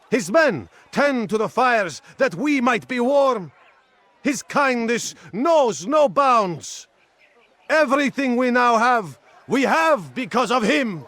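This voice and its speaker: An actor playing a grandiose town crier who proclaims reverent, drawn-out praise of his patron in a video game. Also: very high voice, a bit bright, heavily acted. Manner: declamatory, reverent, drawn-out delivery.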